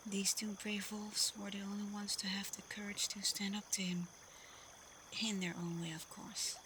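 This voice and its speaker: A woman playing a caring, protective, frank female werewolf pack leader in a soft, breathy, reassuring ASMR roleplay. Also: medium pitch, level tone, moderate pace, slight background noise, close to an everyday voice. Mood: earnest, encouraging.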